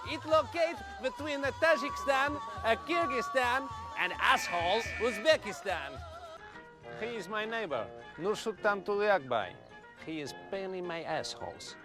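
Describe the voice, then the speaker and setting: A man playing a crude, naive comic villager in a film clip, boasting deadpan in broken English with a thick put-on foreign accent. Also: high-pitched, unhurried. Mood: boastful. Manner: proud, blunt, deadpan boasting. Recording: film clip with music underneath.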